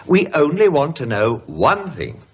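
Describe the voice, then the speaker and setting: A man playing an impatient, exasperated character in a radio drama, speaking in a restless, sarcastic, husky voice. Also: on the high side, huge pitch swings, measured pace, full character voice. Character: impatient, exasperated man waiting on a scholar's findings.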